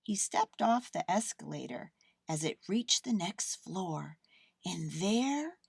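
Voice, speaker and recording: Expressive, slow-paced woman storyteller. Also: fairly low pitch, vocal fry, breathy edge, dry recording, studio quality. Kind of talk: expressive children's storybook narration.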